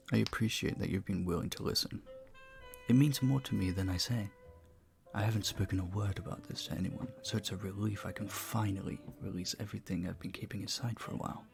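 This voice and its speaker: A man playing an earnest, courteous, flirtatious vampire bartender in an intimate audio roleplay, speaking softly, politely and deliberately. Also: on the low side, fairly even intonation, vocal fry, soft background music. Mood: apologetic, grateful.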